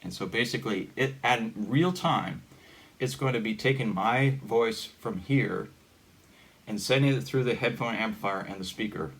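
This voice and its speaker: A man giving a casual hands-on gadget demonstration in a halting, informal, step-by-step way. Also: lowish voice, gravelly. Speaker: hobbyist demonstrator. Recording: steady background noise.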